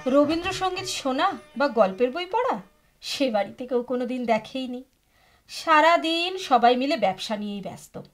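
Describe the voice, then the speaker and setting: A woman's voice: high-pitched, animated, moderate pace. An actress in a Bengali audio drama playing a wistful, unhappy wife of a rich businessman, emotional and confiding. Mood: bitter, stifled. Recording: studio drama with sitar music behind.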